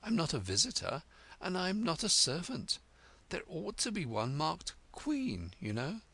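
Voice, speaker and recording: A man's voice: fairly high pitch, huge pitch swings, measured pace, pushed and bright, breathy edge, pitched above the performer's own voice, heavily acted. A man voicing a young girl who sounds puzzled and impatient. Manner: impatient, indignant.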